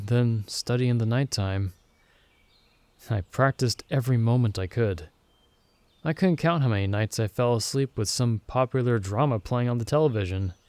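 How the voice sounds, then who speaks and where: A man playing a caring, reassuring young male friend in an ASMR comfort roleplay, speaking gently with hesitant, soothing pauses. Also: mid-range voice, no echo, close to an everyday voice. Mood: encouraging, earnest. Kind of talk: ASMR comfort roleplay, intimate acted monologue.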